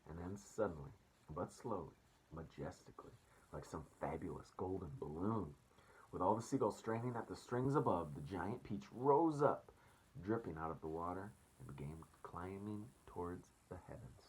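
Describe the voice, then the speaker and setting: A man's voice: sits low, animated, moderate pace, darkish tone, a little hiss or hum, poor audio. A man reading a storybook aloud as a teacher, expressively, and addressing children casually.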